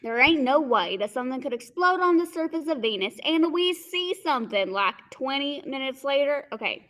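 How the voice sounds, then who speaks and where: A woman playing a slow, folksy male farmer bumpkin in a stage radio play, with a drawling, halting country way of talking. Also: high-pitched, expressive, a bit bright. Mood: stubborn.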